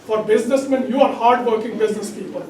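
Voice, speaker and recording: A middle-aged man with a Pakistani accent delivers a halting campaign speech in a very high, hoarse voice. He is picked up from off in an echoey room with some background noise. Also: steady tone, a little mellow. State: hoarse, bad throat. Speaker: political candidate. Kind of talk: campaign speech to a community audience. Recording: echoey hall, distant mic, background noise.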